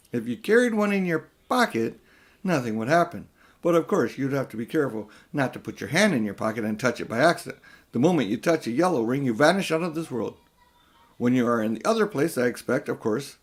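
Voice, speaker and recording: A man voicing a haughty, manipulative older uncle who is a magician in a children's audiobook, speaking in a condescending, smug way. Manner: high and mighty, condescending, coolly smug. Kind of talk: children's audiobook character reading.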